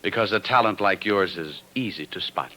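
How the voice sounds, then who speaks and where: An actor playing a wisecracking, cool-headed private detective in a 1950s radio drama, brash and commanding. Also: male voice, sits low, moderate pace, bright, forceful tone. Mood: coaxing. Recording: old 1950s radio broadcast recording.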